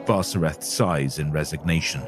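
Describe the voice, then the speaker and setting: A man's voice: rumbling low, moderate pace, over music. A man reading scripted, dramatic narration for an audio story.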